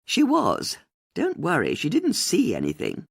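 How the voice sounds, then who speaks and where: A woman's voice: low-pitched, huge pitch swings, unhurried, vocal fry, breathy edge, heavily acted. A woman voicing a shrewd, triumphant older female amateur sleuth in an audiobook, speaking precisely and theatrically as if laying out a case. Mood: assured. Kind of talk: audiobook character dialogue.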